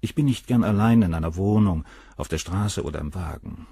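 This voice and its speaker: A man's voice: low voice, fairly quick, warm tone, slightly rough, dry recording. An actor in a German crime radio play playing a cold, cynical middle-aged surgeon, detached and coolly superior as he answers questions. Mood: detached, explanatory.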